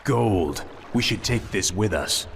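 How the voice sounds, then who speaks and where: A man's voice: fairly low pitch, lively intonation, slow pace, gravelly, very noisy, full character voice. A man voicing a haughty, brusque warrior commander in a video game. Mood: curt.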